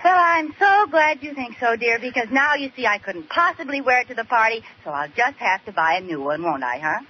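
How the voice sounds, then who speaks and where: A scheming American housewife from a vintage radio comedy. Her voice sits high and she pleads with theatrical exaggeration. The old recording is dull, with light noise. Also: very animated, a little fast. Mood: melodramatic pleading. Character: scheming, dramatic, wheedling young housewife in radio sitcom. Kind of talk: 1940s-50s radio comedy acting.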